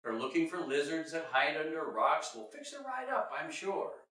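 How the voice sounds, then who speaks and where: Guy as a proud scrappy tomboy kid in a storybook, lively, echoey room. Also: a little high, moderate pace. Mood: eager, proud. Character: scrappy six-year-old tomboy girl, eager and proud. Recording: very echoey room, distant mic.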